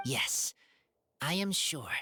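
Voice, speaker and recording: A woman voicing a feral wolf-raised teenage boy in an anime-style video game, earnest and gentle, speaking in short broken phrases. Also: deep voice, lively intonation, slow pace, soft background music, exaggerated voice. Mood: solemn, wistful. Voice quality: husky.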